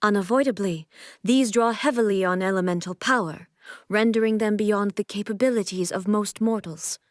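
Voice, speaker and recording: An actress voicing a solemn, aloof immortal shogun and swordswoman in a video game, with formal, measured, stately delivery. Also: mid-range voice, no echo, clean studio sound, lightly acted. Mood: proud, instructive. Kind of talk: video game character voice lines.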